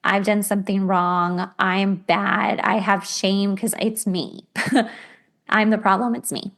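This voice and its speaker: A woman's voice: mid-range voice, flat delivery, takes it slowly, creaky, fairly bright tone. A woman hosting a podcast, explaining self-help ideas in a casual, conversational way with imagined everyday examples. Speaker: podcast host.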